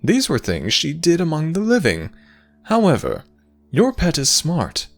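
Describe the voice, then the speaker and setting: A man performs an urbane storytelling god for an audio roleplay. His pitch swoops dramatically from high to low, with a gravelly creak, over soft music. He is grandiose and deliberate, savoring every pause. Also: huge pitch swings, full character voice. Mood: knowing, reproachful.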